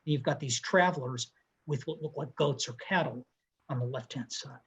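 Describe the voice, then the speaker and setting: Husky man giving a museum art talk. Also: mid-range voice. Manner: measured, descriptive, pointing out details. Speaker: museum co-host presenting artworks. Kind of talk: art history talk over slides. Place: room with bookshelves. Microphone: video call.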